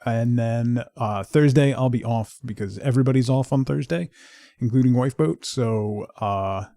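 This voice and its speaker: A middle-aged man with a creaky, relaxed voice talks casually as a game streamer. His delivery rambles along with a joking edge, recorded close and dry over faint music. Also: medium pitch, normal speed, no room sound. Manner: rambling, joking, mock-scolding. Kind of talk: casual livestream chat. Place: indoors at home.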